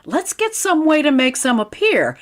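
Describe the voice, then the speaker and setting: A woman's voice: sits high, sing-song, moderate pace. A woman playing a wry, sardonic schoolteacher in a 1940s-style radio comedy, with dry, pedantic wisecracks.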